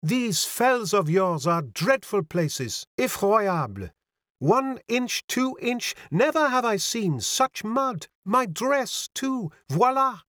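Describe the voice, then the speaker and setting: Man putting on a high, mincing young Frenchwoman. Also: very animated, takes it slowly, fairly bright tone, dry recording, clean studio sound, exaggerated voice. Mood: playful complaint. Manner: mincing, exclaiming, poetic. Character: spirited, dreamy young French lady, carefree and theatrical.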